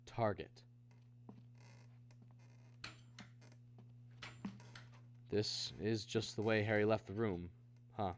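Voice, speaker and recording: A man's voice: lowish voice, steady tone, moderate pace, a little hiss or hum, close to an everyday voice. An actor in a radio drama playing an earnest, troubled man, both narrating and acting in the scenes. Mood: puzzled, subdued. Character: earnest, troubled man searching for his missing brother. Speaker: lead and narrator.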